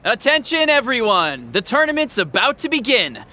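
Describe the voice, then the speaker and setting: Bright, forceful stadium announcer. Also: male voice, very high-pitched, wildly swooping pitch, normal speed, exaggerated voice. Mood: official, urgent. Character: brisk, official male stadium announcer. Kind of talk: public announcement, English game dub acting.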